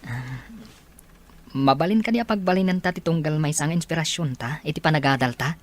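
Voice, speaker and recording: A man playing a gently persuasive, earnest young male student in an audio drama, with an Ilocano Filipino accent. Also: on the high side, brisk, lightly acted. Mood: shy, hopeful.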